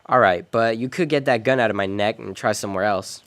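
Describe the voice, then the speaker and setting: An actor playing a hard-boiled, wisecracking private detective in a noir radio drama, terse and wry. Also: male voice, fairly low pitch, a little fast, fairly bright tone. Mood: tense, defiant. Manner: wry, terse, tough-talking. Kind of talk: noir radio drama acting and first-person narration.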